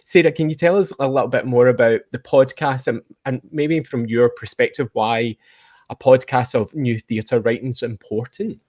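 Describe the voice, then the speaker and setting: A man's voice: mid-range voice, steady tone, moderate pace, a little mellow. A man with a Scottish accent hosting a casual podcast chat. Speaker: podcast host.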